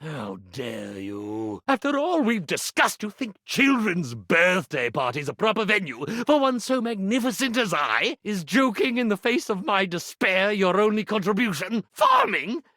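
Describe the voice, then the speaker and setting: A man voicing a pompous, insecure stage magician for a video game, with grandiose, florid delivery and dramatic pauses. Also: high-pitched, very animated, pushed and bright, dry recording, clean studio sound, exaggerated voice. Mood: offended. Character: pompous theatrical iron-turned-stage-magician, melodramatic and insecure. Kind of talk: video game character acting.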